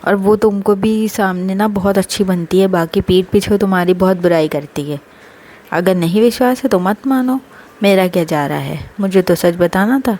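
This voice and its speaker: A woman's voice: medium pitch, normal speed, darkish tone, natural voice. Woman voicing a spiteful, gossiping neighbour. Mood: insinuating. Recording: clear background noise.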